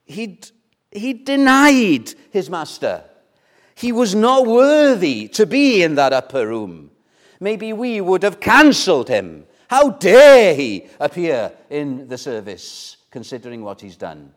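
A man's voice: high voice, big pitch swings, slow pace. An older man from Wales, a Welsh speaker, preaching a sermon in a conversational way with rhetorical questions and repeated words. His voice swells and then drops almost to a whisper. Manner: loudness rises and falls widely, dropping to near whispers. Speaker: pastor.

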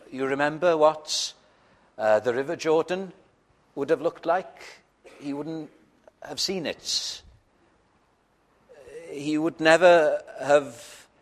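A man whose first language is Welsh, preaching a sermon in a church in a slow, earnest way with frequent hesitations. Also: mid-range voice, a bit bright.

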